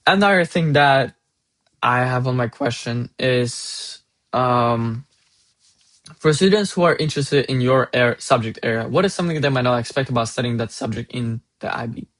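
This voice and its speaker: Young man, Russian accent, non-native speaker, earnest, hesitant, mid-pitched voice. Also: moderate pace, a bit bright. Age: young adult. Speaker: IB student. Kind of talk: casual advice monologue.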